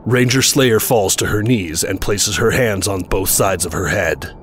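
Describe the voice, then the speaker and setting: A man's voice: on the low side, unhurried, a little hiss or hum. A man reading scripted narration for an action audio drama. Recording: voice over loud trailer music.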